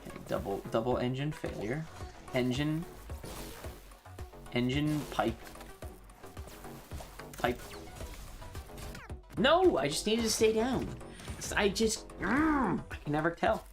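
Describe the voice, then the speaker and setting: Woman, very deep voice, lively swooping pitch, casual sweary game streamer, faint music behind. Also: takes it slowly, long pauses. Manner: sweary, slangy, exclaiming. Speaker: live streamer. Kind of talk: casual gaming stream commentary.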